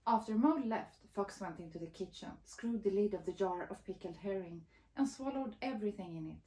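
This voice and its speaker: Woman, soft dark tone, storybook narrator, expressive, roomy echo. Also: medium pitch, brisk. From Sweden. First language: Swedish. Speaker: author reading her picture book.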